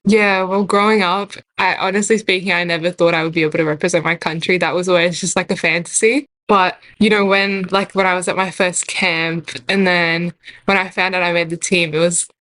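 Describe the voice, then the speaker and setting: A woman's voice: fairly low pitch, fairly even intonation, fairly quick, fairly bright tone, no echo. A teenage girl who grew up from Hungary, talking as an interview guest in a chatty, casual way, full of "like" fillers and frequent laughs. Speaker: young basketball player, guest.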